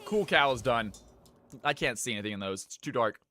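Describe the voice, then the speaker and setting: A man hosting viewer games on a live stream, chatting casually and thanking viewers, with sudden loud hype-announcer bursts. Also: medium pitch, animated, a little fast, soft background music. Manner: hype announcer bursts between easygoing chat.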